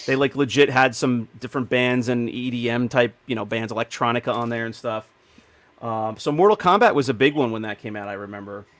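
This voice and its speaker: Guy cohosting a podcast, casual chat, average pitch, really dry close sound. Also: a little fast, a bit bright, no echo. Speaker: podcast co-host. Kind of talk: casual group chat about films and games.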